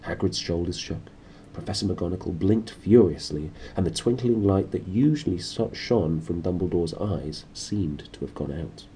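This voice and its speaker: Male storyteller, very low and mellow, informal and playful, some background noise. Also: expressive, moderate pace. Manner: informal, playful, addressing listeners as a team. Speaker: reader, host of a read-aloud series. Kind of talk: lively storybook narration with informal asides.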